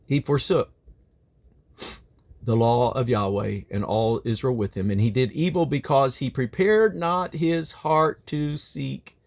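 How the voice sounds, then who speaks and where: A man reading the Bible aloud as a reader, phrasing deliberately and now and then stumbling over names. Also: medium pitch, vocal fry, a little mellow.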